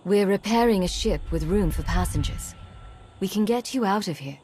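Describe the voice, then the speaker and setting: A woman playing a guarded, pragmatic military commander in a video game, measured and matter-of-fact. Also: medium pitch, mellow, a little hiss or hum, natural voice. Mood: reassuring. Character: guarded, pragmatic female agent and commander, self-reliant.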